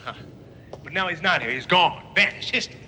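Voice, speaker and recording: Sharp, high-pitched sarcastic male detective. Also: expressive, quick pace, slight background noise. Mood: sarcastic, incredulous. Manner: sarcastic, badgering questions. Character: incredulous wisecracking male police detective. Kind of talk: comic film dialogue.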